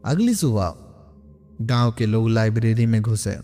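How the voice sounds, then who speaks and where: A man narrating a scripted horror story in a suspenseful, dramatic way with deliberate pauses. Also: lowish voice, expressive, warm tone. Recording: long cavernous reverb, music underneath. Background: background music.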